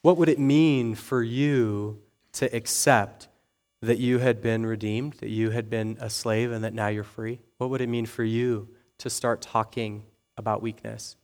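A young adult man preaches to his church in a loose, conversational way, poking fun at himself and often checking in with the room. His voice sits at an ordinary middle pitch. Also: steady tone, measured pace, a little mellow. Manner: conversational, self-deprecating, frequent "okay?" and "right?". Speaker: pastor. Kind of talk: sermon.

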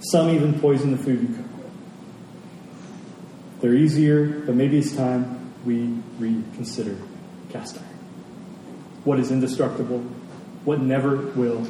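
Mellow male preacher in an echoey hall. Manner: earnest, emphatic, pauses and repeats phrases for weight. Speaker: pastor preaching. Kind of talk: sermon. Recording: echoey hall, distant mic, faint rumbling noise.

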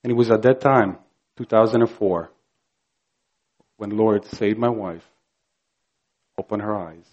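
A man from Croatia with a Croatian accent, preaching a sermon earnestly and emphatically, slow and building to fervor. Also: on the low side, darkish tone, muffled recording. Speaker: pastor.

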